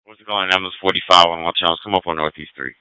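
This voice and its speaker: Deep, bright-voiced male radio dispatcher. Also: steady tone, very fast, smooth, no echo, low-quality recording. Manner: procedural, repeats key details. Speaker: fire department radio dispatcher. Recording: fire department two-way radio traffic.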